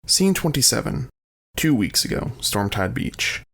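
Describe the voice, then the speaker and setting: A man with a gravelly voice narrating a scripted audio drama. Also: mid-range voice, big pitch swings, measured pace, fairly bright tone, soft background music.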